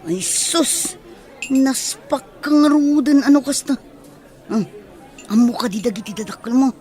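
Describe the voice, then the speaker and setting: Blunt high-voiced Ilocano village gossip played by a woman. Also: a little slow, dark tone. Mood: scolding, suspicious. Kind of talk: Ilocano radio comedy drama acting.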